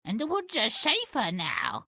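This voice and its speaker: A man's voice: very high voice, wildly swooping pitch, a little slow, vocal fry, bright, forceful tone, dry recording, studio quality, full character voice. A man playing a crusty, feisty old granny with a tart, blunt, theatrical delivery in a fairy-tale game. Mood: crusty, unbothered.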